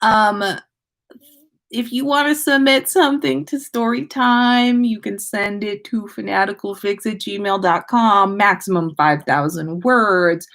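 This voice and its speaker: A woman's voice: mid-range voice, measured pace, a bit bright. A woman hosting a casual story livestream, reading aloud expressively and chatting with listeners. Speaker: podcast host reading listener fan fiction aloud.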